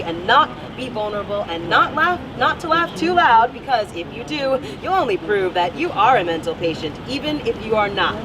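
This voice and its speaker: A woman reading a poem aloud at a protest, stumbling now and then over the words. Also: on the high side. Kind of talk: public poetry reading at a protest. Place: outdoors at a street protest. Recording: distant outdoor mic, clear traffic noise.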